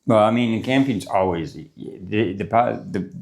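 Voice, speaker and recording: Congested, deep-voiced man rambling on a vlog. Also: unhurried, creaky, heavy background noise. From United States. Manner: rambling, full of fillers and restarts. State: congested from a cold. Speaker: travel vlogger. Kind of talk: casual morning vlog to camera. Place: small courtyard room with a concrete wall, indoors. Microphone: clip-on lapel mic.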